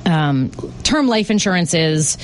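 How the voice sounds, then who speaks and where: Woman in her 40s doing a solo radio show, a bit hoarse from a cold, lowish voice, chatty, keeps restarting her sentences. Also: animated, unhurried, slight background noise. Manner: conversational, thinks aloud with frequent restarts. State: slightly hoarse, under the weather. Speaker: radio host and financial advisor. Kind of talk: live radio talk show, solo monologue. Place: radio studio.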